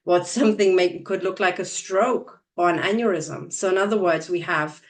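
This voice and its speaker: A woman's voice: on the low side, normal speed, recorded from a distance. Earnest woman heard from a bit away. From Transylvania, Romania. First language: Hungarian. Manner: earnest, repeats words when starting sentences. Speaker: host. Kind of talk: casual interview chat.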